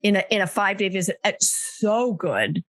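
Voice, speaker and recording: A woman's voice: mid-range voice, very animated, normal speed, a bit bright, dry recording. An older woman hosting a casual, chatty conversational interview in an easygoing storytelling manner. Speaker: podcast host.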